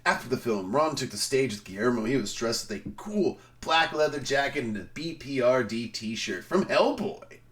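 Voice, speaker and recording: A man streaming, speaking in an emphatic, theatrical way with dramatic pauses. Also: medium pitch, expressive, a bit bright. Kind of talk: dramatic reading of a film review.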